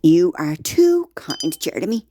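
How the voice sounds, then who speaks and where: A woman's voice: lowish voice, big pitch swings, unhurried, warm tone, slight background noise. An actress playing a vain, fawning middle-aged Dublin mother who scolds and gushes, in a comedy radio drama. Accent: Dublin Irish. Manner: gushing, house-proud, bossy.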